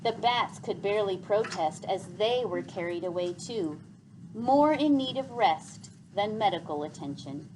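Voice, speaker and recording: A woman narrates a children's novel aloud in an expressive, deliberate, dramatic storybook style, as scripted reading. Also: medium pitch, slight background noise, poor audio.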